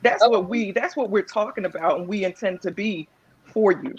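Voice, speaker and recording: A woman's voice: medium pitch, moderate pace, slightly rough. A woman speaking earnestly and encouragingly on a panel, often restarting her sentences. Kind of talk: casual panel discussion. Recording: dull lo-fi audio.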